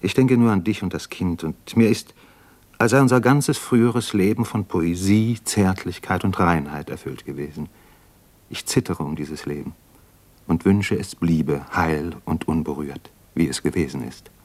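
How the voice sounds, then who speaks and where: An actor playing an earnest, homesick young doctor and poet in a reflective, intense 1950s German radio drama style. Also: male voice, low-pitched, normal speed, darkish tone. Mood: tender, wistful.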